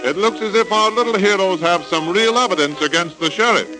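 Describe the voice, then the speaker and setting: A male announcer delivers a vintage radio serial outro. His voice is high, with loud music playing behind it. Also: fairly quick, low-quality recording.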